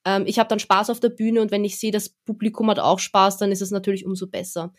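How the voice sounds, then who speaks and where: A woman's voice: mid-range voice, fast. A young woman, an event presenter, chatting easily as a podcast guest. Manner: relaxed, chatty, with fillers. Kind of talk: casual interview talk.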